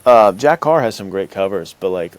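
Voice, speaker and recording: A man with a fairly low voice hosts a podcast in casual conversation. His talk is laid-back and slangy, full of like and dude, as if chatting with friends. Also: expressive, normal speed, a little hiss or hum. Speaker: podcast host.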